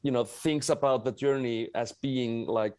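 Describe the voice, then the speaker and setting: Male founder as interview guest, Icelandic accent, mid pitch, rambling self-corrections, very dry recording. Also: steady tone, unhurried, darkish tone, clean tone, dry recording. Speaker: guest, tech company founder. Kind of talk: conversational interview storytelling.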